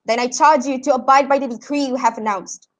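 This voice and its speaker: A stern, defiant seer of ancient Greece, heavy with grief played by a young female student. The voice is on the high side, delivered as in an amateur radio drama. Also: fairly even intonation, fairly quick, a bit bright. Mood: stern, accusing. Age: young adult. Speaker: student actor.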